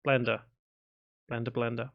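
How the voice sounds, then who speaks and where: A man's voice: mid-range voice, little pitch movement, moderate pace, gravelly, phone-quality sound. A man from Liverpool with a faded Scouse accent, hosting a casual livestream as a game development tutor, chatting with viewers and sometimes mumbling to himself. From Liverpool area, England. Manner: mumbles to himself while working. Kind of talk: casual livestream chat, answering viewer comments.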